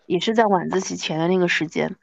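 A woman in her thirties hosting a podcast, chatting casually and reminiscing in an animated, self-deprecating way. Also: fairly low pitch, fast talker, vocal fry, dry recording, lo-fi sound. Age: 30s. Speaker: podcast host.

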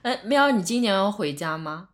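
A woman's voice: medium pitch, lively intonation, normal speed. A woman from Ningbo in China co-hosting a podcast in casual chat. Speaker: podcast co-host.

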